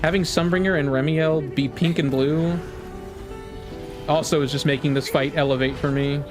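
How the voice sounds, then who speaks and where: Higher-voiced man reacting excitedly. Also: normal speed. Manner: excitable, rambling, slangy. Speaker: video game reaction host. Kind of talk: casual live reaction commentary. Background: music from the game trailer.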